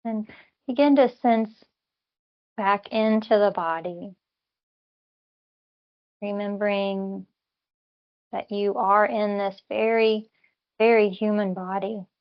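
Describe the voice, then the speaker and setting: A woman leading a guided body scan meditation as a teacher, speaking in gentle, unhurried instructions with long pauses. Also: mid-range voice, fairly even intonation, dull audio.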